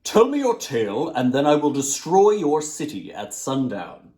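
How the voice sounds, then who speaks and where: A huge, menacing djinn of the desert voiced by a man reading a storybook, loud and commanding, with grand, imperious delivery. The pitch moves in big, dramatic sweeps. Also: big pitch swings, normal speed. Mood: imperious demand. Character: enormous booming sandstorm djinn, menacing and imperious.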